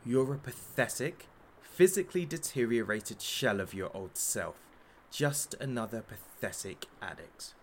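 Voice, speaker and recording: A man's voice: mid-range voice, lively intonation, measured pace, slight background noise, lightly acted. Man acting a theatrical, cruelly mocking masked show host. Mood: cold contempt. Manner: mocking, showman-like, sarcastic. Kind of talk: audio drama acting.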